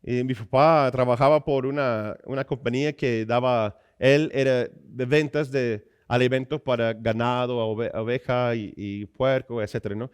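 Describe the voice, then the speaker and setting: A man's voice: medium pitch, fairly even intonation, normal speed, few pauses, clean tone. A man from the American Midwest with an American accent, a native English speaker, preaching in an explanatory way with frequent little checking questions. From Iowa, United States. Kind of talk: sermon.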